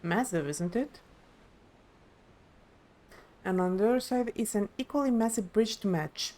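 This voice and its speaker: A woman's voice: mid-range voice, expressive, a little slow, a little mellow, close to an everyday voice. A woman voicing a proud, eager young princess who guides visitors in a video game, earnest and explanatory. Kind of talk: live video game dialogue reading.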